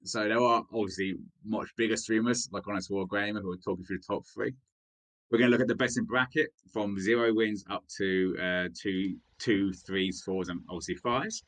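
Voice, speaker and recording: A man with a British accent hosting a casual hobby chat, chatty and quick with jokes, laughing often. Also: lowish voice, fairly even intonation, a bit bright, rough recording. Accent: British English. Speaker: podcast host.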